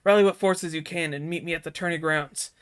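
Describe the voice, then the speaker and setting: A man's voice: on the high side, fairly even intonation, a little fast, clear, resonant tone, no room sound, close to an everyday voice. A man voicing a loyal noble confidant in a video game read-aloud, earnest and urgent. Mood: urgent, instructing. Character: loyal male noble confidant reporting a bloody betrayal.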